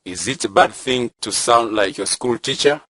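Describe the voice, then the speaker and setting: A man's voice: medium pitch, normal speed, bright, forceful tone, no room sound. A young man acting an aging, proud and sly village chief in a stage play, speaking in stately, poetic phrases. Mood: persuasive. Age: young adult. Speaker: student actor. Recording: music playing behind the voice.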